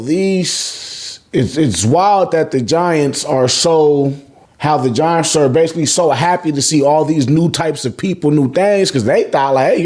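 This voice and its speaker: Higher-voiced American man, casual slangy recap. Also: a little mellow. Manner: profane, informal, acts out characters' lines. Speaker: YouTube host reviewing manga chapters.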